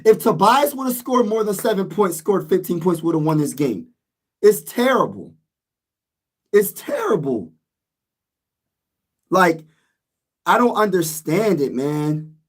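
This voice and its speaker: A man's voice: sits high, very animated, measured pace, long pauses. A man losing his voice, ranting emphatically as a sports vlogger in a casual, frustrated way.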